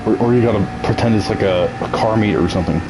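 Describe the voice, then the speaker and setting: A man's voice: on the low side, quick pace, heavy background noise. A young man chatting casually as a game streamer, nasal and ill, joking, laughing often and tripping over his words.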